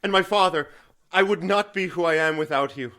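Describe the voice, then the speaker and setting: An actor playing an earnest young sea captain, sincere and politely formal, in an audio drama. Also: male voice, on the high side, brisk, pushed and bright. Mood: grateful, sincere. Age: young adult. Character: earnest, grateful young sailor captain, Edmond Dantès.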